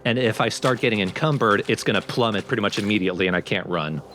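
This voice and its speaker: A man's voice: lowish voice, quick pace, fairly bright tone. A man giving casual, explanatory commentary over a video game, talking informally and step by step. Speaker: let's play commentator. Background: game music under the voice.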